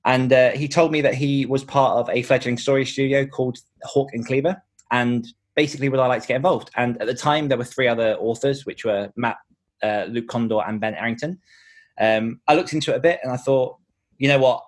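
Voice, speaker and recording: Fast-talking man in casual interview chat. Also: medium pitch. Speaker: podcast guest, author.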